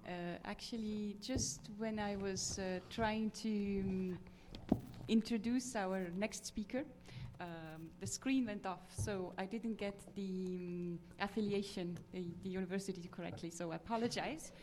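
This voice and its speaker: A woman moderating a conference session, halting with many fillers. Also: medium pitch, a little mellow, slight background noise. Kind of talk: introducing speakers at a conference.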